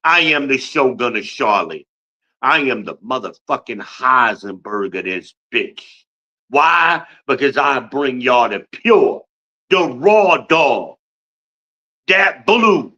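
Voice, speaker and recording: A man's voice: mid-range voice, big pitch swings, slow, sharp tone, lo-fi sound. A man in his fifties from Newark, New Jersey, hosting a podcast livestream with a boastful, blunt, profane rant. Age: 50s. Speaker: podcast host.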